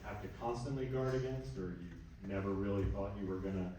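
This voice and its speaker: A man's voice: fairly low pitch, fairly quick, dark tone, slight background noise. A man moderating a panel, asking questions in a hesitant, rambling way. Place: university lecture room. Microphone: distant room recording. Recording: echoey room from far off.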